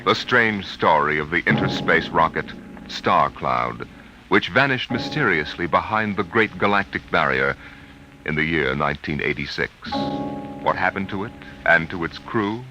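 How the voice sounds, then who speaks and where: A man's voice: deep voice, animated, normal speed, low-quality recording. A man narrating a 1950s radio trailer in a portentous, dramatic announcer's style. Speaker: announcer of next week's episode. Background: dramatic music.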